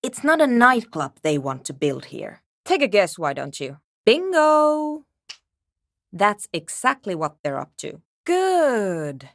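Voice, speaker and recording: A woman's voice: medium pitch, big pitch swings, takes it slowly, no echo. An actress playing a curt, skeptical, world-weary woman programmer in a video game, speaking in a brusque, dry, dismissive way. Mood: firm, disapproving. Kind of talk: video game character dialogue.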